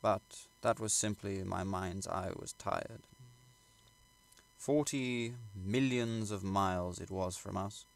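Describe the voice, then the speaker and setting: Creaky, low-voiced man reading a classic novel. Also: slow pace. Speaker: audiobook reader. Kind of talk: scripted literary narration.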